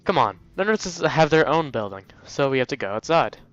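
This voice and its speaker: A man's voice: on the high side, big pitch swings, moderate pace, fairly bright tone, no room sound, lo-fi sound, faint music behind. Man reading as a helpful, matter-of-fact schoolgirl.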